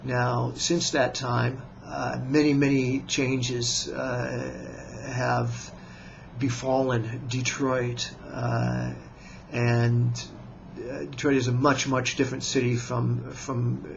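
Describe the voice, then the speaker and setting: American man, fifties, gravelly, flat and even delivery, unhurried, hesitant pauses, muffled lo-fi recording, faint background hum. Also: mid-range voice. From United States. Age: 50s. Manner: slow, measured and deliberate, with many hesitant pauses. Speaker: writer and vlogger. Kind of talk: self-introduction to camera.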